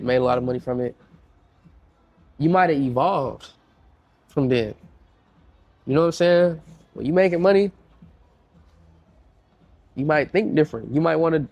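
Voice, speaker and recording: A man's voice: medium pitch, a little mellow. A young man with a congested voice, a music producer talking casually in a podcast interview, slangy and repeating himself as he tells stories.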